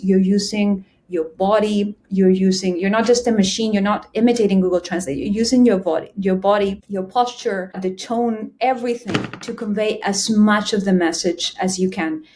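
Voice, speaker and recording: Measured woman, a guest interpreter. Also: mid-range voice, dark tone. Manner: measured, emphatic, thoughtful. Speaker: interpreter association president. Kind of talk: conversational interview.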